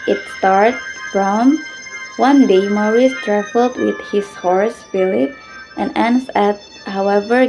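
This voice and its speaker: A woman's voice: medium pitch, vocal fry, mellow, poor audio. A young woman giving a scripted student narration and explanation. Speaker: student presenter and storyteller.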